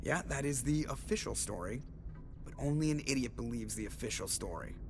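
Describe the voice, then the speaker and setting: A man's voice: medium pitch, animated, a little fast, gravelly, slight background noise. An actor playing a sarcastic, cocky and suspicious male spaceship pilot in a video game cutscene. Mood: sardonic. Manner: sardonic, wisecracking.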